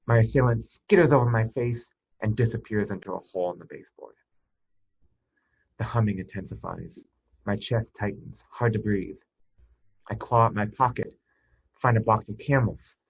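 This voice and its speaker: A man with a husky voice reads a suspense short story aloud in a tense, expressive first-person style. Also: fairly low pitch, dull audio. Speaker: story reader. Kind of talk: dramatic scripted narration of a suspense short story.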